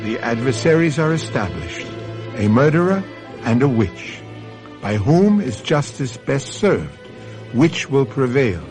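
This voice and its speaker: A man hosting a radio mystery drama, narrating in a dramatic, portentous, deliberate manner. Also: mid-range voice, sing-song, darkish tone, faint music behind. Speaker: host and narrator.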